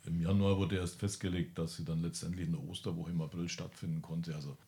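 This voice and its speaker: A man's voice: low-pitched, level tone, quick pace, dark tone. A man organizing a large sports event, speaking confidently in a briefing style with English buzzwords mixed in. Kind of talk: panel discussion.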